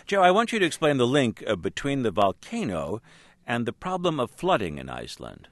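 A male news anchor reading measured, scripted introductions and questioning a correspondent. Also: medium pitch, wildly swooping pitch, fairly bright tone, no echo. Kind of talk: broadcast news hosting.

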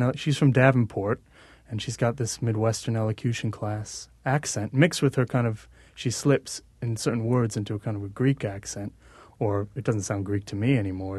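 A man being interviewed on the radio, talking casually with frequent halting restarts. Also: fairly low pitch, a little mellow, no room sound. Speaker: musician guest.

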